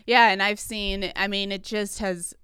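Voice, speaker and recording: Young American female podcast guest. Casual chat, bright and exceptionally clean tone, dry studio sound. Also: medium pitch, level tone, a little slow, smooth, dry recording. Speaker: podcast guest, school social worker.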